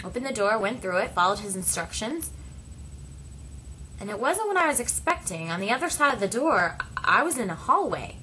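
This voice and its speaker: A woman with a bright, forward voice tells a personal story in the first person. She speaks at a middle pitch, phrase by phrase, leaving deliberate pauses for drama. A faint noise sits behind her voice. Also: very animated, low-quality recording. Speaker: interpreter voicing a signed personal story.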